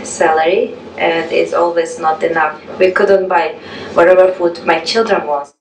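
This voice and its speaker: A woman's voice: on the low side, fairly even intonation, unhurried. A woman, speaking earnestly and plainly in a documentary interview. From Uzbekistan. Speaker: immigrant interviewee. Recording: roomy room, distant mic, clear noise.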